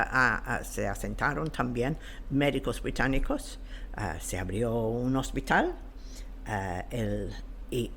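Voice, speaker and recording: Gravelly-voiced man, halting interview talk. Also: medium pitch, fairly bright tone, slight background noise. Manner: hesitant, halting, searching for words. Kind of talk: explanatory interview talk on history.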